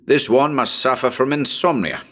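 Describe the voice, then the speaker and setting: A man's voice: mid-range voice, expressive, normal speed, fairly bright tone. A man playing a nervous radio broadcaster on a ghost hunt in a dramatic reading, talking to listeners in a chatty, hesitant, uneasy way. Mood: chatty unease. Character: nervous male radio broadcaster ghost hunting in haunted house.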